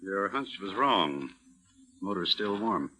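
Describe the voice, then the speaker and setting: An actor playing a businesslike police officer reporting to his chief in an old radio crime drama, with a husky voice. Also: deep voice, animated, slow pace, bright, forceful tone, slight background noise, exaggerated voice, rough recording. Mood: matter-of-fact.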